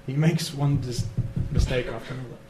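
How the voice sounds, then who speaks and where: A man giving an analytical academic talk, measured and steady, with fillers. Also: mid-range voice, crowd noise. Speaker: lecturer on Iran. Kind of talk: academic lecture answer. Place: lecture hall. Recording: roomy hall, faint noise.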